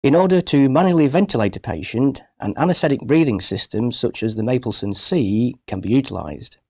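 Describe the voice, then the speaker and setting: A man reading scripted instructional narration for a medical training film in a measured, precise, formal manner. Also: medium pitch, animated, darkish tone, breathy edge, no echo, studio quality.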